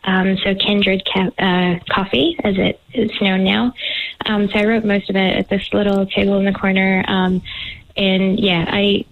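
A woman's voice: mid-range voice, level tone, measured pace. Woman author on a tinny phone line. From Montreal, Canada. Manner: hesitant, many ums. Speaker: author guest. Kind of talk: casual interview over the phone.

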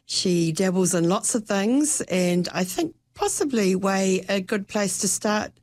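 A woman's voice: medium pitch, expressive, a little slow, a little mellow. A woman with a New Zealand accent hosting a podcast interview in a conversational style.

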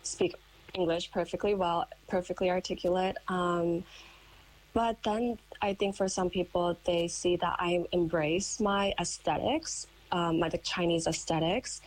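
Young American woman, interview guest, low and measured, thoughtful with pauses. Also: steady tone, poor audio. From United States. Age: young adult. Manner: thoughtful, measured, with frequent ums. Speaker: guest, painter and writer. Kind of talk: interview answers.

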